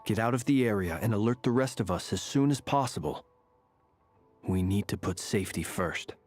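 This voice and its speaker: A man playing a composed, cautious investigator in a video game, with a husky voice and measured, serious delivery. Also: lowish voice, a little mellow, soft background music, natural voice. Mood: firm. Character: composed, cautious male investigator, calm leader.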